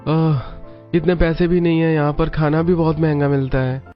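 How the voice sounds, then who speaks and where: A man voicing a gentle, resigned young husband who works in an office, soft-spoken and placating. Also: on the high side, flat delivery, normal speed, natural voice. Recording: dull lo-fi with faint music.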